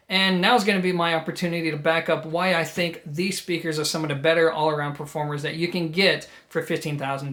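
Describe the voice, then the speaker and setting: Higher-voiced man with a Jersey accent. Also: fairly bright tone. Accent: New Jersey. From New Jersey, United States. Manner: enthusiastic, emphatic, addressing viewers directly. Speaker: audio equipment reviewer and host. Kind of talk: conversational product review.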